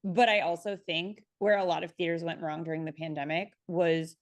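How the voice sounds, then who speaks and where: A woman's voice: lowish voice, little pitch movement, brisk. A woman speaking conversationally as a panelist on a video call, often correcting herself and checking in with "right?".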